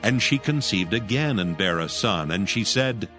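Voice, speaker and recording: A man narrating scripture in a measured, solemn way. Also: lowish voice, lively intonation, fairly bright tone. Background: background music.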